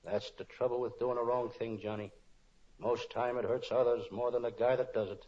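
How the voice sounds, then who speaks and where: A man's voice: mid-range voice, level tone, fairly quick, lightly acted. A man playing a wisecracking, kind-hearted private detective in an old-time radio spoof, both narrating and in dialogue. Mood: gentle, serious. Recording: dull lo-fi old radio recording.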